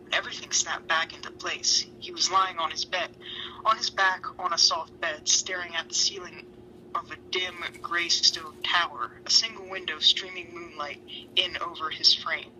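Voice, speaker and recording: A woman's voice: lowish voice, steady tone, normal speed, sharp tone. A woman reading a story aloud in an amateur dramatic style, with sweary, self-mocking asides. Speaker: fan fiction reader. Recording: noisy home recording.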